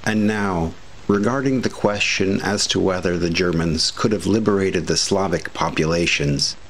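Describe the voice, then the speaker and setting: A man reading scripted documentary narration with commentary in a measured, formal way. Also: low voice, slight background noise, low-quality recording. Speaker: history video narrator.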